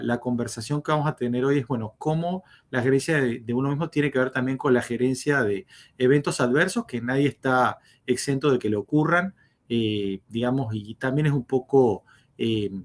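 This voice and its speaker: A man tells his life story as a podcast guest, pausing often on fillers and restarting his sentences. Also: medium pitch. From Uruguay. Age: 50s. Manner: hesitant, many fillers and restarts.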